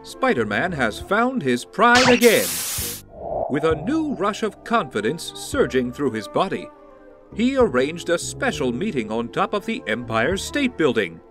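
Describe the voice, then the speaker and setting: A man's voice: a little high, sing-song, unhurried, fairly bright tone, faint music behind. A man narrating a comic-book audio drama in a theatrical, announcer-like way.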